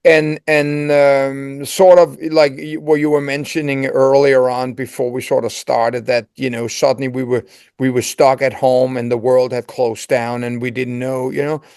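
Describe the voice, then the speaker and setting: A man in his sixties from Denmark with a Danish accent, a singer giving a reflective interview and talking in halting, repeated starts. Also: mid-range voice, level tone, darkish tone, clean tone, dry recording, studio quality. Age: 60s. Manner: halting, repeats words, searches for phrasing.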